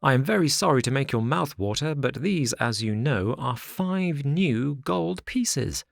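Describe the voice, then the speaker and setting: A man voicing an eager young wooden boy puppet in a high, excited voice, reading a storybook. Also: a little slow, gravelly, dry recording, clean studio sound. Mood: indignant.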